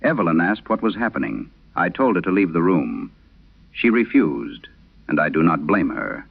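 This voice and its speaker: Guy doing an old priest exorcist in a horror radio play, grave and haunted, gravelly, swings up and down a lot. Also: low-pitched, very animated, narrow, tinny audio, exaggerated voice. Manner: grave, measured, solemn. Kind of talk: dramatic first-person horror radio storytelling.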